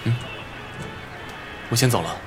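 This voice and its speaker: An actor playing a father in a modern audio drama, coaxing his small child in a measured, fond way. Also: lightly acted. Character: father, adult man talking fondly to his small child. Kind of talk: modern Chinese radio drama acting. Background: music.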